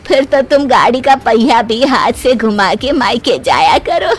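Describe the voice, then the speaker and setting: A woman voicing an amused, indulgent older mother-in-law in an animated family story, gently teasing as she talks. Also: a little high, a little slow. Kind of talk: animated Hindi moral story voice acting.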